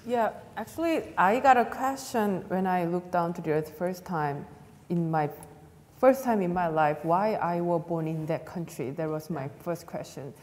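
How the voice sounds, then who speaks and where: A woman's voice: fairly low pitch, moderate pace, darkish tone. A woman from South Korea, speaking English with a Korean accent, telling an earnest story as an astronaut panelist who laughs often. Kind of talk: panel talk. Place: large event hall, on stage. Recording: long reverb of a large hall.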